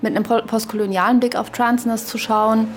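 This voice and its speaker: A woman talks in a casual podcast conversation as co-host. Her voice sits at a middle pitch and moves within a fairly narrow range. She thinks aloud haltingly, using academic terms. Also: flat delivery, slight background noise. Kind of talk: casual, reflective podcast discussion.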